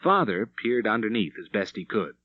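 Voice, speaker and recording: A male audiobook narrator reads a Western tale with vivid drama. His pitch moves in lively swings through the sentences. The recording is dry and a little dull. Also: moderate pace, no echo. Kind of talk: expressive storytelling narration of a Western novel.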